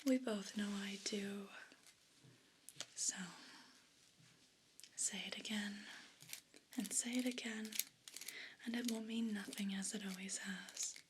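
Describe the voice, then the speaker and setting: A woman's voice: a little high, a little hiss or hum. Woman whispering as a haughty evil pet cat. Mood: condescending. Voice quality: breathy whisper. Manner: imperious, slow and deliberate condescension. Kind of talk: ASMR role play.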